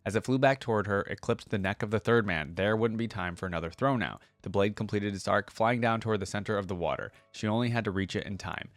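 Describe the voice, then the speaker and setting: Male host, lowish voice, dry close sound; relaxed colloquial delivery for lore reading and casual commentary. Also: fast, few pauses, no room sound. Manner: laid-back, colloquial fan talk. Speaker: reaction video host reading lore aloud.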